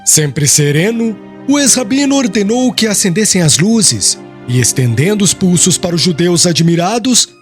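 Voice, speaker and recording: A man's voice: high voice, sing-song, moderate pace, few pauses. A man narrating an audiobook in a solemn, formal storytelling manner. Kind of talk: scripted literary narration. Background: music.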